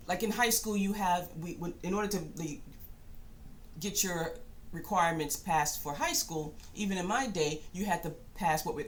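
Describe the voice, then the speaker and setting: A middle-aged woman giving a reflective personal monologue in a thoughtful, halting way, often pausing and rephrasing. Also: fairly low pitch, slight background noise. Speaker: spiritual channel host and self-published author.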